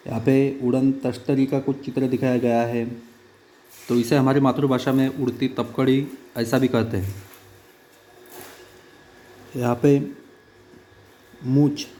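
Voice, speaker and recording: Male teacher, halting delivery. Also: mid-range voice, dark tone. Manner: halting, with many "uh" fillers. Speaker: schoolteacher. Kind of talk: online class lesson.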